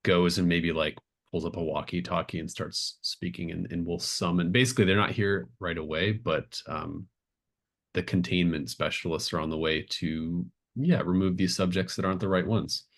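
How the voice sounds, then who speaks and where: A man's voice: deep voice, steady tone, normal speed. A man acting as game master, casually narrating a tabletop game, encouraging and often thinking aloud.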